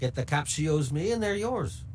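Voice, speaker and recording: Shady video game fixer played by a man: higher-pitched, middle-aged, mercenary, sardonic, insinuating, very lively inflection. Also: moderate pace, a little hiss or hum, natural voice. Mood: sardonic, scheming. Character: sly, mercenary middle-aged male information dealer.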